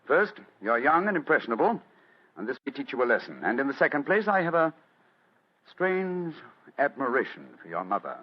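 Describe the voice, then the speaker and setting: A theatrical, urbane detective. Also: male voice, mid-range voice, sing-song, fairly quick, slightly rough. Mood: stern. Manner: theatrical, precise, courtly. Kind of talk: old-time radio drama acting. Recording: dull vintage radio drama recording.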